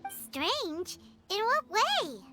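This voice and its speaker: A woman voicing a tiny childlike companion creature in a video game, squeaky, nosy and blunt. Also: very slow, over music, full character voice. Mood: puzzled, nosy. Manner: blunt, third-person self-reference, animated. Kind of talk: video game cutscene acting.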